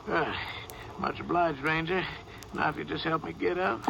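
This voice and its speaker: A man's voice: a little high, moderate pace, vocal fry, very noisy. An actor playing a shaken but plucky small-town watchman in a radio drama, plainspoken, folksy and obliging. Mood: shaken, grateful. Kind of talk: radio crime drama acting.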